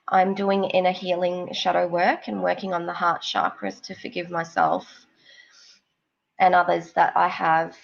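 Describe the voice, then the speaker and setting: Woman with a cold, very gravelly fry, dull lo-fi sound, rambling gentle talk. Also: on the low side. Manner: slow, rambling, gentle reassurance. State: has a cold, snuffly nose. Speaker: psychic card reader. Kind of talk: live-stream reading chat.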